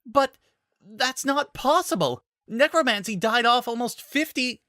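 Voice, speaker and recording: A man playing a young woman mage in a storybook reading, frantic and easily startled. Her voice jumps high and lively, with a bright edge. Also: drawn-out words, no echo, studio quality, exaggerated voice. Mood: alarmed. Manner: high-pitched and excitable.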